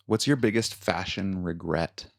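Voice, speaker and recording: A man with a baritone voice co-hosting a casual podcast, easygoing and playful, laughing often as he talks into a podcast microphone. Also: a little slow, vocal fry. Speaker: podcast co-host. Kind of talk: casual chat.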